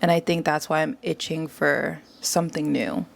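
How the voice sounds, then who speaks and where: Young woman guest, low and gravelly, animated chatter, casual interview. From New York area. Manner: animated, chatty, quick to agree. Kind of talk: casual conversation.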